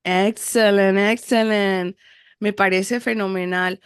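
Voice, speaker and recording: A woman's voice: medium pitch, fairly even intonation, a little slow, smooth, no room sound, clean studio sound. A woman hosting a casual podcast interview, switching between Spanish and English and repeating words effusively. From United States, Miami. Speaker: podcast host. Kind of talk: casual bilingual interview chat.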